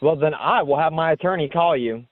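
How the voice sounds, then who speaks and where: A man's voice: a little high, brisk, dry recording. Flustered American man on a tinny phone line. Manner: defensive, flustered. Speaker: caller being questioned by police. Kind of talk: phone conversation. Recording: tinny lo-fi recorded phone call.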